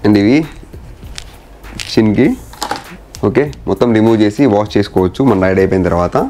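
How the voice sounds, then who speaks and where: Low-voiced mellow man, casual. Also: brisk, faint music behind. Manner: informal, mixes in English words and phrases. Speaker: motorcycle vlogger. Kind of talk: casual hands-on installation walkthrough.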